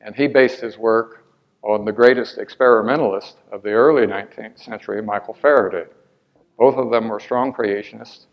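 A man's voice: on the low side, steady tone, moderate pace, lo-fi sound. An older man answering audience questions after a lecture, hesitant with many fillers and restarts, on a lapel mic in a large hall.

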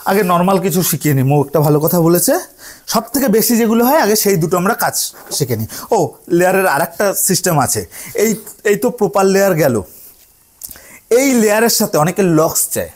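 A man teaches hairdressing in a high voice. His intonation moves widely up and down as he instructs trainees. He repeats himself often and keeps asking whether they have understood. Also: sing-song, a little fast, long pauses, darkish tone. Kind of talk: hands-on tutorial to trainees.

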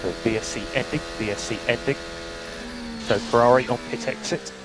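A man's voice: medium pitch, fairly quick. A man working as a race engineer, giving terse, matter-of-fact instructions over motor racing team radio. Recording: noisy car radio transmission.

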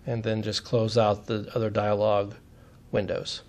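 A man's voice: on the low side, monotone, measured pace, a little mellow. A man giving a step-by-step technical demonstration in a methodical, plain-spoken way, as an instructor would.

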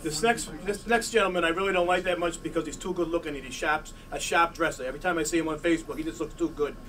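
A man's voice: on the high side, fairly even intonation, fast, a bit bright. An older man hosting a community meeting, introducing the next speaker in an upbeat, joking way. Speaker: meeting host. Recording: distant mic with faint room noise.

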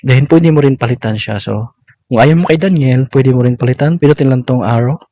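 A man's voice: mid-range voice, fairly quick, dark tone, no echo. Male Filipino tutorial narrator, step-by-step delivery, dry and slightly dull recording. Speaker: content creator giving a tutorial. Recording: dull lo-fi audio.

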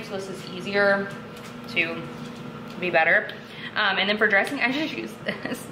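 A woman's voice: medium pitch, background noise. A young woman vlogging at home, chatting casually and rambling with lots of "like" and "um", filmed by a camera on a tripod. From western New York. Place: kitchen and bedroom at home.

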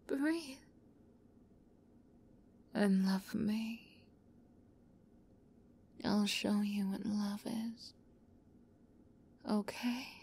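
A woman playing an obsessive young yandere captor in an ASMR roleplay, close to the mic, moving between soft whispers and giddy, high outbursts. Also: vocal fry, breathy edge.

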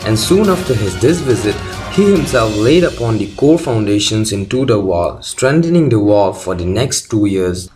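A man reading scripted documentary narration as the host of a video. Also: mid-range voice. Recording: loud music under the voice. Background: loud background music.

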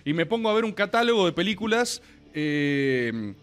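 A man's voice: sits high, moderate pace. A man in his thirties with an Argentine accent, hosting a casual streaming show and pressing his point over and over. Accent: Argentine Spanish. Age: 30s. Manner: animated, insistent, repeats himself. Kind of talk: casual banter.

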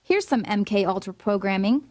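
A woman's voice: medium pitch, lively intonation, normal speed, darkish tone. A woman reading a scripted voice-over narration in a dramatic, insinuating way.

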